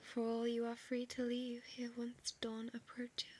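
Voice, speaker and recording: Woman acting as female vampire, breathy whisper, unhurried, possessive, tender, seductive, ASMR roleplay, faint background noise. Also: a little high, completely flat delivery, close to an everyday voice. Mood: fond, reassuring. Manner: slow, intimate, coaxing, formal old-fashioned phrasing.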